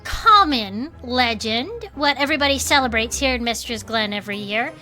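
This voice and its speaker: A festive small-town co-host on an audio drama show. Her voice is high and bright, with an upbeat, chatty delivery. Music plays underneath. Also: lively intonation, moderate pace. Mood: playful, storytelling. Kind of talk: improvised in-character audio drama chat show.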